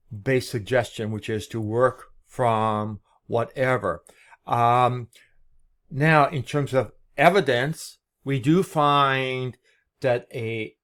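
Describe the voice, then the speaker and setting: Man explaining in halting short phrases. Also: mid-range voice. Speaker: presenter. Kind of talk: informal explanatory screen-share talk.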